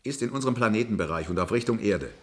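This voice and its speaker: An actor playing an alarmed, precise observatory scientist in a German science-fiction radio drama, urgent, exact and factual. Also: male voice, lowish voice, animated, racing, close to an everyday voice. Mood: grim.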